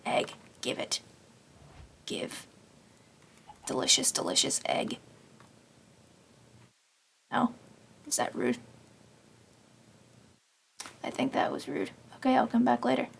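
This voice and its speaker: A woman casually narrating her Minecraft game, rambling and self-deprecating, her voice slightly raspy from long talking. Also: on the high side, big pitch swings, takes it slowly, long pauses, a little hiss or hum. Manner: rambling, self-deprecating, talks to herself while playing. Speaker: gaming channel host. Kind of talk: casual Minecraft let's play commentary.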